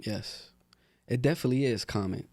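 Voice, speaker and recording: A man's voice: medium pitch, fairly quick, dry recording, clean studio sound. A young man from Newark talking in a laid-back, casual way with lots of fillers, as a podcast guest. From Newark, New Jersey. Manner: laid-back, relaxed street talk with many fillers. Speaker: rapper and guest. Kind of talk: casual interview talk.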